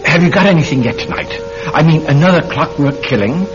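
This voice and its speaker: A man's voice: animated, brisk, music in the background, natural voice. An actor playing an eager, inquisitive young man, an amateur sleuth, probing hesitantly in a classic radio drama. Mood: curious. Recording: dull, rumbling, noisy old radio recording.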